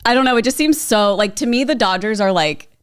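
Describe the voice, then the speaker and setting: A young American woman with a very lively, swooping pitch, recorded close and dry. She chats casually as a podcast co-host, slangy and playfully self-deprecating. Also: fairly quick, smooth, dry recording. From US Midwest. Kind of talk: casual banter.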